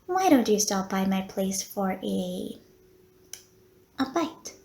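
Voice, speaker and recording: Unhurried, courteous lady vampire nurse, acted by a woman. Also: medium pitch, big pitch swings, gravelly, a little mellow, heavily acted. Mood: flirty, pleased. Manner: genteel, formal wording with coy hesitations. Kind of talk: roleplay audio acting, addressed to the listener.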